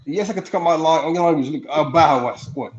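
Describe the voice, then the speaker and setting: A man's voice: a little high, fairly quick. A young man from Somalia in a heated casual debate, defensive, restarting often and tacking "man" onto his lines. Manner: defensive, repeats "man" and "look", stammers. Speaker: debate guest. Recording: muffled stream with faint noise.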